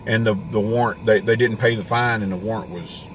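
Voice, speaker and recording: A man's voice: lowish voice, fairly even intonation, fairly quick, fairly bright tone, a little hiss or hum. Man ranting on a live stream. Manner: ranting, rambling with restarts. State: voice getting worn. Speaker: homesteading live stream host. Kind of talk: casual live stream rant. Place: inside a parked car.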